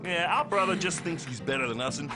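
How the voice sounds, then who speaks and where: A man plays a slacker beatnik pig in a cartoon, pitched fairly high and swinging freely. He drawls in hippie slang, evasive and resentful, over music. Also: lively intonation, quick pace, close to an everyday voice. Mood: resentful, laid-back. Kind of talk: cartoon voice acting.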